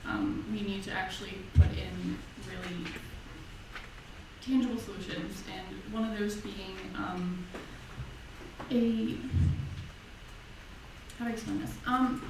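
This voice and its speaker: A woman's voice: medium pitch, fairly even intonation, slow pace, pauses often, noisy background. Young woman, student candidate; earnest, hesitant ums, heard from afar in a resonant hall. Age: young adult. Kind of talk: debate answer. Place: university lecture hall. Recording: echoey lecture hall, distant mic.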